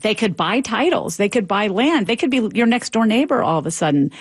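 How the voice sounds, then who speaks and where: A woman's voice: on the high side, expressive, fairly quick, husky, no echo. Woman professor explaining, dry close sound. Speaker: professor, expert guest. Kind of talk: explanatory interview talk.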